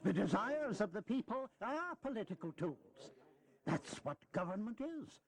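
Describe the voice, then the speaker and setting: An actor playing a shrewd, philosophical old statesman in a historical audio drama, speaking in deliberate, theatrical oratory. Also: sits high, big pitch swings, exaggerated voice. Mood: persuasive.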